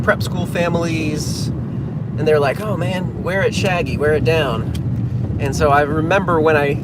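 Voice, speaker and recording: A man from New England chatting casually on a livestream while driving, narrating his route and reading out fan comments. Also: on the high side. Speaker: pianist livestreaming to fans. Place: inside a moving car. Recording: loud road and engine noise. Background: loud car and traffic noise.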